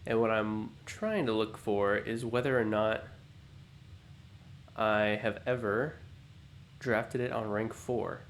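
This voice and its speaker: A man hosting a let's play, thinking aloud in an unhurried, musing way, his voice low and groggy from just waking up. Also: fairly even intonation, a little hiss or hum. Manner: musing, unhurried, self-talking. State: low morning voice, just woken up. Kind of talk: thinking-aloud gameplay commentary.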